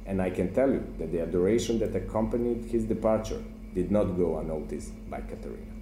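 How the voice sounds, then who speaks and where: A man reading his prose fiction aloud at a literary event, in a measured, solemn storytelling manner. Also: low voice. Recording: echoey room with faint noise.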